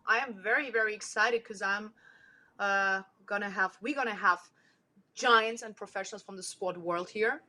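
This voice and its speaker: A woman with a German accent hosting an online sports talk show, excitable and restarting her sentences, over a video call. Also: medium pitch, normal speed. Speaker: host.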